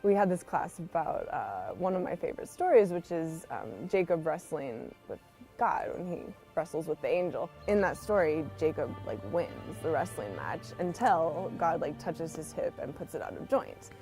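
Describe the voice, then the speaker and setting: This is a woman with a mellow, slightly low voice. She speaks in an earnest, informal and conversational way, like a missionary teacher sharing a personal testimony. Faint music plays behind her as she talks. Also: sing-song, moderate pace. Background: background music.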